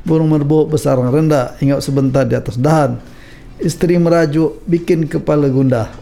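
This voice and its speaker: A man's voice: fairly high pitch, steady tone, normal speed, vocal fry, dark tone. Jokey man with a cold, chuckling. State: has a cold. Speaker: radio host. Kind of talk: casual radio chat trading rhymed verses.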